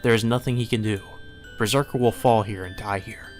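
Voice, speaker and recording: A man reading a visual novel aloud as dramatic, solemn and measured narration. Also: on the low side, steady tone, a little mellow. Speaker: narrator, let's play reader. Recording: music behind the voice.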